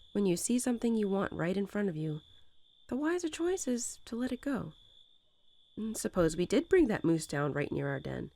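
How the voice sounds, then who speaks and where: A woman voicing a gentle, nurturing mother wolf who talks softly and unhurriedly to her newborn pups in a bedtime roleplay audio. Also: on the low side. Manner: tender, unhurried, lulling storytelling.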